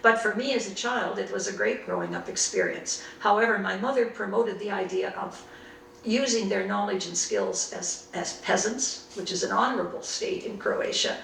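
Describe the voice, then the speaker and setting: An older woman from Canada, recalling her early life and studies in an unhurried, reflective way in an oral history interview. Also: medium pitch, huge pitch swings, gravelly, a bit bright, reverberant, recorded from a distance, a little hiss or hum, rough recording. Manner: reflective, unhurried storytelling with frequent restarts.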